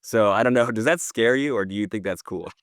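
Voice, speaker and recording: Male podcast co-host, low voice, close dry sound, keen and explanatory, conversational delivery. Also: brisk, no echo. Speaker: podcast co-host presenting news. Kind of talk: casual news discussion.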